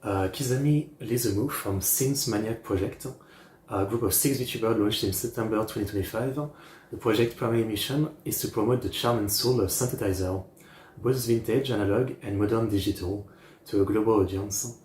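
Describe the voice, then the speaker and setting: A man streamer giving casual live reaction commentary, talking haltingly in short phrases with pauses. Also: lowish voice, darkish tone, a little hiss or hum. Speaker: streamer reacting to music videos. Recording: roomy echo, music playing underneath. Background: music from the videos.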